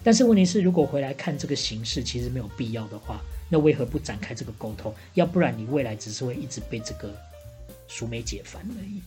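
A woman's voice: rumbling low, sing-song, quick pace, mellow, soft background music. A woman with a Taiwanese Mandarin accent chatting casually as a podcast host, playing a bartender who gives analytical, bantering advice. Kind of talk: casual chat, giving advice.

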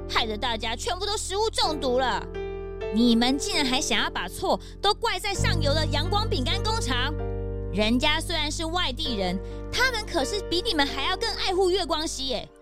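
A woman's voice: sits high, very animated, moderate pace, music in the background. A woman voicing an eager, cheerful young male sidekick in a children's radio drama. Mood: scolding.

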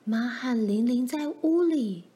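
A woman voicing a fearful, cautious young girl in a storybook, speaking in a soft, timid whisper. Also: on the high side, lively intonation, a little slow, voice pushed up. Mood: frightened whisper. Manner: timid, hushed.

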